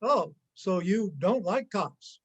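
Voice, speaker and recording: A vengeful robber played by a man in an amateur play reading. His voice sits high for a man, and he talks in a halting, sneering, threatening way. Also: expressive, a bit bright, husky, dull audio, exaggerated voice. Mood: sneering, suspicious. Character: menacing, sarcastic male gangster robber, jumpy and vengeful. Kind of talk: amateur reader's theater acting.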